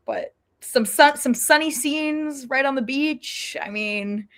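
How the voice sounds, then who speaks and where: A woman's voice: high-pitched, takes it slowly, a bit bright. An American woman talking casually as co-host of a sports podcast.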